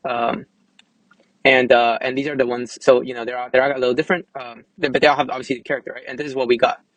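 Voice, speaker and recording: Casting: young man, developer walking through a screen demo, quick and informal with halting restarts, close dry sound. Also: medium pitch, level tone, no echo, poor audio. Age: young adult. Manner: halting, hesitant, informal, repeats words. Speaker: app developer presenting a demo. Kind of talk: casual screen-recorded software demo.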